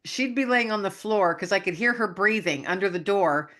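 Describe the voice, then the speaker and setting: A middle-aged woman hosting a casual interview podcast, chatty and laughing often, with a low voice. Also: expressive, moderate pace, fairly bright tone. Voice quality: low voice, naturally raspy when singing. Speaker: podcast host.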